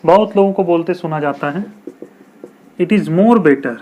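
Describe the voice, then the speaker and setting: A man explaining common English mistakes in a video lesson, slowly repeating example sentences and asking rhetorical questions. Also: fairly high pitch, dark tone. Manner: rhetorical questions, chatty teacherly asides. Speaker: English teacher.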